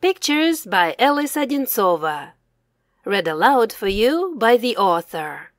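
A woman's voice: on the high side, sing-song, a little slow, no echo, clean studio sound. A woman reading scripted children's storybook narration as its author, enunciating carefully for English learners.

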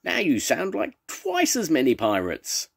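Pompous storybook pirate captain, high and blustery, read by a man. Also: a little slow, vocal fry, heavily acted. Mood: blustering. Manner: excitable, crying out. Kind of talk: storybook reading.

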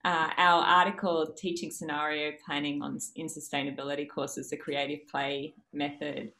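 A woman in her thirties with an Australian accent, a university lecturer giving a conference presentation over a video call in a measured way with frequent fillers. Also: low voice, flat delivery, bright, forceful tone, poor audio.

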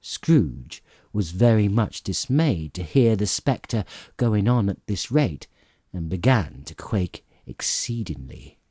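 A man's voice: fairly low pitch, sing-song, slow, dark tone, husky, no echo, clean studio sound. A man narrating classic fiction as a dramatic audiobook reading.

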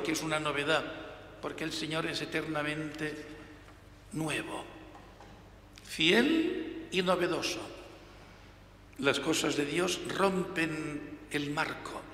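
An older man in his sixties, a priest reading the Gospel at a lectern microphone and then preaching an emphatic homily. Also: a little high. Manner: emphatic, gestures with hands, varies loudness for emphasis. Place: large church, at a lectern. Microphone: gooseneck lectern mic. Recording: reverberant church hall, faint room noise.

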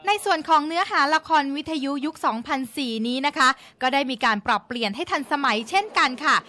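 Bright, high-pitched female feature narrator. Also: lively intonation, fast. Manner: polite, polished presenter delivery. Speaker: news feature reporter, voice-over narrator.

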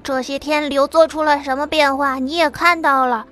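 A woman voicing a weary young psychic who speaks quietly and thoughtfully, in an audiobook with soft music underneath. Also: high-pitched, fairly even intonation, moderate pace.

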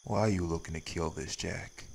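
Man acting low, gravelly, weary wraith priest. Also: steady tone, moderate pace, a little mellow, slight background noise. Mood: stern, dry. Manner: laconic, folksy, drawled nonstandard grammar. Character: stern, weary male wraith priest guarding his town. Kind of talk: scripted audio roleplay acting.

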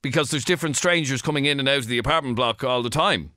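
A man's voice: mid-range voice, fast talker, bright, forceful tone, dry recording, clean studio sound. An Irish man in middle age hosting a radio phone-in, asking probing questions with many restarts. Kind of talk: talk radio interview. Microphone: studio microphone.

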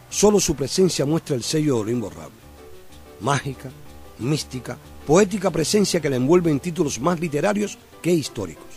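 A man hosting a radio program, reading scripted narration in a measured, poetic way. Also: mid-range voice. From Cuba. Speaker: radio host. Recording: reverberant room with soft music.

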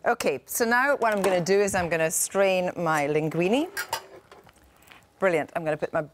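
A middle-aged woman news presenter with a brisk, wry manner, talking while she cooks on air in a TV studio. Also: fairly low pitch, background noise. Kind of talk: light-hearted live cooking segment. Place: TV studio with a cooking set.

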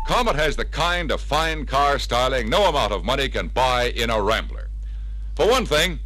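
A middle-aged man narrates a dealer training film in polished, persuasive tones. His pitch rises and falls a great deal as he hammers home each comparison. The sound comes from an early 1960s vinyl record. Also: fairly bright tone, husky, low-quality recording. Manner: persuasive, emphatic, comparing point by point. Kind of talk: scripted sales narration.

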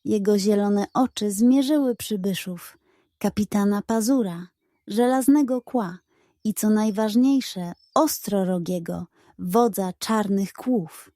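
Female narrator for children's bedtime tales, slow-paced and warm in tone. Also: fairly high pitch, no echo, studio quality. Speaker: storyteller.

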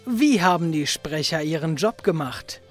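A reviewer hosting a casual couch chat, talking haltingly with many restarts and tag questions. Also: medium pitch, expressive. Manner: halting, restarts a lot, tags remarks with "ne?". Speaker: reviewer, host. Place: small living room, on a couch. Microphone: far camera mic. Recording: roomy, distant mic, faint music.

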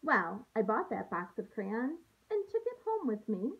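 A woman in her thirties, a teacher reading aloud to young children with an expressive, sing-song delivery, recorded on a handheld-distance device at home. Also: fairly high pitch, a little slow, narrow, tinny audio, slight background noise. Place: small room at home, indoors. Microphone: phone or laptop at arm's length.

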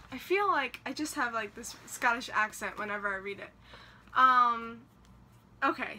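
A woman's voice: fairly high pitch, bright, forceful tone, a little hiss or hum. A young woman chatting casually to camera about her reading plans, rambling with lots of fillers, recorded in a small room by her bookshelves. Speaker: book vlogger.

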